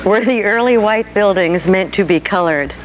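Middle-aged woman host on stage, poised and a bit wry, clear hiss on the old tape. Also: medium pitch, normal speed, muffled recording. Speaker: interviewer and television host. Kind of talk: interview questions on stage. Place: auditorium stage before an audience.

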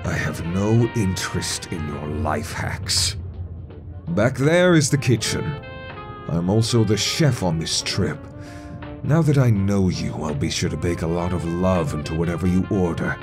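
Comic-dub train steward played by a man: deep voice, stiff formal politeness over snide exasperation. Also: lively intonation, unhurried, music in the background. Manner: stuffy, sarcastic, formally polite. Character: prissy, put-upon male wizard train steward. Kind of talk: comic dub character acting.

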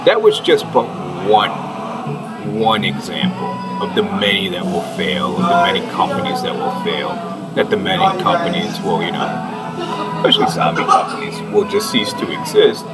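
A middle-aged man giving rambling, halting commentary as he reads out an article, sardonic and mock-preachy. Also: on the low side, wildly swooping pitch. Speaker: online commentator. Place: indoor room, seen on webcam. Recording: distant mic under loud music.

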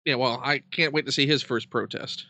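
A man's voice: mid-range voice, steady tone, brisk, sharp tone, a little hiss or hum. A man chatting casually as an interviewer, bantering with dry, wry humor.

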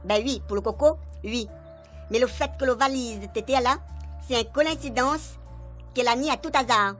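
A man's voice: extremely high pitch, normal speed, fairly bright tone, soft background music. A man playing a crafty, grumbling village fortune-teller with a Réunionese accent in a radio comedy serial. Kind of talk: Creole radio comedy acting.

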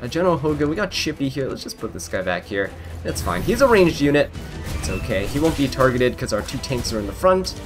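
Animated young man chatting over a game. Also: mid-range voice, brisk, music in the background. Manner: chatty, amused, given to tangents. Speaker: gaming video creator. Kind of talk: casual gameplay commentary. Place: voice-over recorded apart, game music behind.

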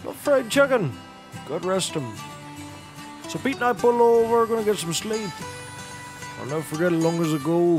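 A man's voice: fairly high pitch, lightly acted. An actor playing a folksy, grim old maintenance man who rambles through melodramatic tall tales in a scripted comedy podcast.

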